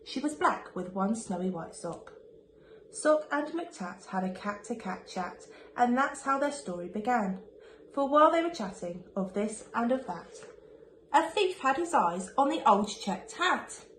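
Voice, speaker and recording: Female storybook reader, higher-pitched, sing-song and expressive, teacherly delivery for young children, recorded in a roomy space. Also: a little slow. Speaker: primary school teacher reading aloud. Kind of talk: expressive children's picture-book reading. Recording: echoey room with faint background noise.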